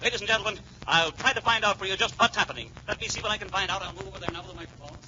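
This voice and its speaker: An actor playing an excited ringside announcer calling a school boxing match in dramatic 1940s radio style. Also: male voice, sits high. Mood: thrilled. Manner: rapid-fire, dramatic, emphatic repetition. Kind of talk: 1940s radio blow-by-blow commentary. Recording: muffled, noisy 1940s radio, roaring crowd.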